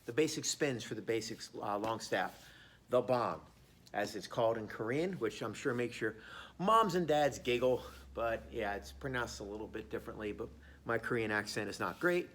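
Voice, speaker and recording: A man's voice: medium pitch, moderate pace. A man teaching a martial arts class on camera, casual and joking as he demonstrates the moves. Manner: relaxed, joking, talks while demonstrating. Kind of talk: casual instructional demo. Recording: echoey room, faint background noise.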